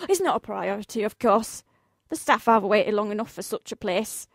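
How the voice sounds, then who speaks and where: A woman's voice: mid-range voice, expressive, moderate pace, vocal fry, no echo, studio quality. A woman playing a polite, breezily demanding office boss in a radio play, speaking in a formal, officious way. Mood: politely matter-of-fact.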